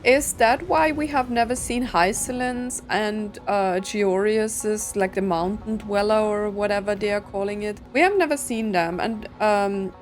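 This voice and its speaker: A woman's voice: medium pitch, measured pace, few pauses. A woman giving casual game commentary, thinking aloud in a musing, hesitant way. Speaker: gaming streamer. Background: game music.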